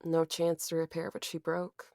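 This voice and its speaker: A woman's voice: low-pitched, completely flat delivery, moderate pace, darkish tone, no room sound, studio quality. An actress playing a weary, traumatized young woman with a subdued, wry manner in a horror audio drama. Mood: bitter, sad.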